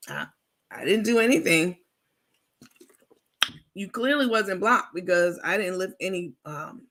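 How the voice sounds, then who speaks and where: A woman with a raspy voice hosting a casual livestream, chatting informally with viewers and reading out their comments. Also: lowish voice, fairly bright tone. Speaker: livestream host.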